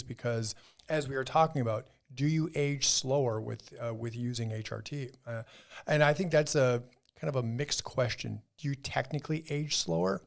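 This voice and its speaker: Gravelly man in his fifties. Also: medium pitch. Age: 50s. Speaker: podcast co-host, doctor. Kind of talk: casual conversational health discussion.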